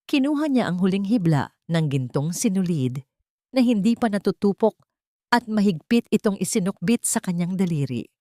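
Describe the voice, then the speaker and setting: A woman's voice: medium pitch, expressive, moderate pace, dark tone, no room sound, clean studio sound. A woman with a Filipino accent reading scripted, dramatic narration for a romance drama story.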